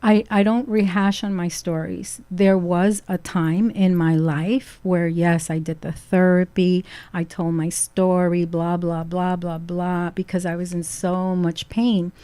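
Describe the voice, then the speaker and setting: Woman, middle-aged, from Ecuador, Brooklyn accent, low mellow voice, reflective, repetitive phrasing. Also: level tone, unhurried, few pauses, clear, resonant tone, no echo. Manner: reflective, repeats phrases for emphasis. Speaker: guest. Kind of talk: casual chat. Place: radio studio.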